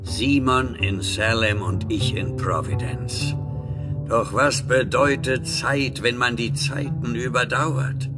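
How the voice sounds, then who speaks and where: A male actor playing a sinister, obsessive, ageless alchemist in a horror radio play, pompous and theatrical, with a husky voice. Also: medium pitch, over loud music, natural voice. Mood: triumphant brooding. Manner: slow, pompous, theatrical declaiming. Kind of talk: horror audio drama acting.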